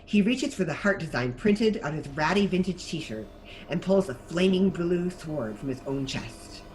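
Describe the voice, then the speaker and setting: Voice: medium pitch, lively intonation, measured pace, slight background noise, rough recording. A narrator reading scripted stage directions for a table read.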